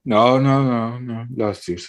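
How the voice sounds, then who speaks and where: A man from the Netherlands, chatting casually on a live stream and pausing often with fillers as he searches for words. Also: mid-range voice. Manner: hesitant, many fillers and restarts. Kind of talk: casual chat.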